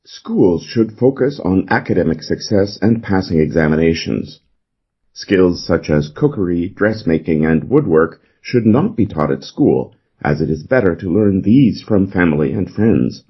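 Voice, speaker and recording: A man's voice: sits low, lively intonation, unhurried. A man reading an exam essay as scripted narration. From Canada. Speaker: essay reader. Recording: slightly dull, lo-fi recording.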